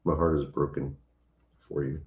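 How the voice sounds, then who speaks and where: Cajun homestead host: very low, easygoing voice in a roomy, muffled recording. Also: male voice, little pitch movement, normal speed. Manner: easygoing, chuckles often, occasional coughs. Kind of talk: casual livestream chat. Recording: echoey room, dull lo-fi sound.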